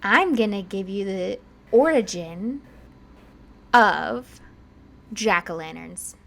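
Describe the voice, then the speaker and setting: A woman's voice: medium pitch, lively intonation, slow pace, gravelly, a bit bright. A woman co-hosting a podcast, chatting casually and a bit rambling, slightly congested. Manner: chatty and rambling, many fillers. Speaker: podcast co-host.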